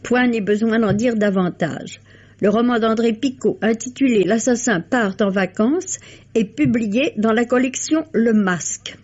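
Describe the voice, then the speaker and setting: Lady reading a scripted book review on old radio, husky but on the high side, dull muffled sound. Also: measured pace, darkish tone. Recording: dull vintage radio recording.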